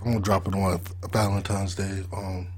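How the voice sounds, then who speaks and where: A man co-hosting a podcast with a slightly raspy voice, joking and playful in casual talk. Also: sits low, flat delivery, moderate pace, darkish tone, slight background noise. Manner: playful, joking, laughs often. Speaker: podcast co-host. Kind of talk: casual chat.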